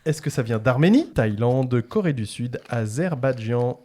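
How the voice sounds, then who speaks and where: A man's voice: mid-range voice, animated, background noise. A man hosting a playful food quiz with chatty banter, carefully spelling out names and answer options. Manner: slowly enunciates dish names and answer options. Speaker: quiz host on a food show.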